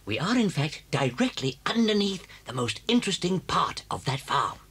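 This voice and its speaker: A man's voice: on the high side, very animated, normal speed, pushed and bright, husky, exaggerated voice. A British actor playing a sly, confident, charming father fox in a children's audio story, suave and theatrical, relishing his words. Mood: sly, gloating.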